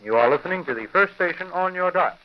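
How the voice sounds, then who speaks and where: A man's voice: high-pitched, lively intonation, fast, dull audio. A man announcing a station in a formal old-time radio style, on a vintage recording.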